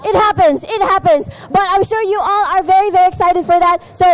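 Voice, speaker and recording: A high-voiced woman interviewing players on an esports broadcast, upbeat and chatty. She trips over words now and then and laughs them off. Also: fairly quick. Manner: upbeat, with small verbal stumbles. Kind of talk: post-match interview hosting.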